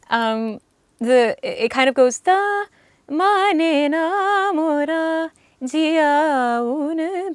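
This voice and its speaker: A young woman, a singer, telling lively stories in an interview, laughing and acting out what people said. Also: on the high side, no room sound. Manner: animated, laughing, acts out quotes. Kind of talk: storytelling in an interview.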